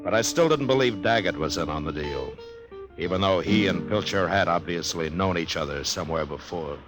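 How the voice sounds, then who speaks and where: An American actor playing a stern, weary, decent frontier marshal, terse and plainspoken, in a 1950s Western radio drama. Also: male voice, low-pitched, animated, brisk, over music. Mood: grave narration. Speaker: lead character and narrator.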